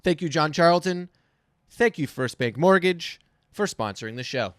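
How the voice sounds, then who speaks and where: A man's voice: fairly high pitch, expressive, moderate pace, no room sound, clean studio sound. A man hosting a live show, presenting sponsor spots and introductions in a tongue-in-cheek way. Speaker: show host reading sponsor spot and introducing acts.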